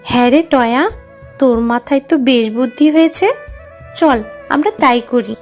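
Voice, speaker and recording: A woman plays a worried cartoon animal mother who toils as a housemaid. Her voice sits very high and sounds plaintive and outspoken. Music plays clearly underneath. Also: normal speed. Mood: fond. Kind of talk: children's cartoon voice acting.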